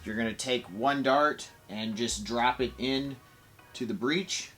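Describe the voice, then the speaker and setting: A man's voice: medium pitch, slow pace, fairly bright tone. Casual man reviewing toys, music behind. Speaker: toy blaster reviewer. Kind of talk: casual product review to camera.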